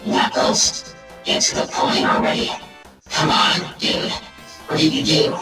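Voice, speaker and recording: Echoey, distant, sharp-voiced impatient cartoon hero man acting. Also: unhurried. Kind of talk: amateur comic dub acting. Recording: distant echoey mic with music underneath.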